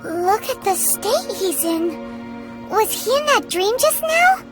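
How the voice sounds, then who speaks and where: Super high squeaky little fairy sidekick from a video game, excitable and cheeky, clear music behind. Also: female voice, slow pace, heavily acted. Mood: curious, surprised. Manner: exclamatory, chirpy, refers to things bluntly. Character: small excitable childlike female fairy companion, cheeky and loyal. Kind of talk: scripted video game voice acting.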